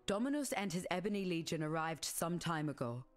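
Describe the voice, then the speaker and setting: An actress playing a grave, dignified madam of a wasteland refuge in a video game, speaking in a measured, solemn way. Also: female voice, fairly low pitch, lively intonation, close to an everyday voice. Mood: grim. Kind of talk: scripted game character dialogue. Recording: music behind the voice.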